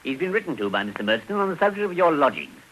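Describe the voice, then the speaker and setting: An actor playing a blunt, matter-of-fact English warehouse manager in a radio drama. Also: male voice, a little high, big pitch swings, rapid-fire, a bit bright, slightly rough, full character voice, low-quality recording. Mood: brisk. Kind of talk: 1950s radio drama acting.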